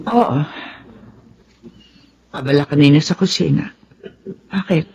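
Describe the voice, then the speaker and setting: A creaky-voiced older Filipino mother. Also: low-pitched, slow, dark tone, heavily acted. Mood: sorrowful. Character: sorrowful widowed mother, older, understanding. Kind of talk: Filipino radio drama acting. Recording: dull sound, faint background noise.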